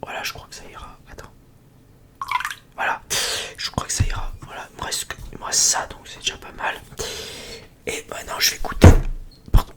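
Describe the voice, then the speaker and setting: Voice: a little fast, long pauses. An ASMR host chatting casually in a whisper, rambling along in informal, slangy speech close to the microphone.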